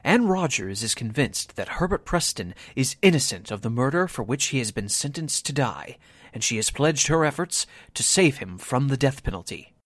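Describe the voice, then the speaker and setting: A male announcer narrates a forties-style radio drama. His mid-pitched voice moves expressively up and down, recorded close and dry. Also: very animated, normal speed, a bit bright, no echo.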